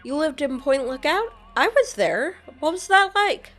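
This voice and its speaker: A woman's voice: high-pitched, lively intonation, measured pace, fairly bright tone, faint music behind. Woman voicing an earnest, curious wanderer. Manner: inquisitive, earnest questioning. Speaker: player character. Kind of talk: fan-voiced game dialogue.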